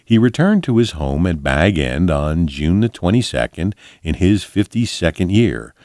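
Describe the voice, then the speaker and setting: A man reading a fantasy book aloud in an expressive audiobook style, with measured, dramatic storyteller phrasing. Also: low-pitched, no room sound, clean studio sound. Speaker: volunteer storybook reader.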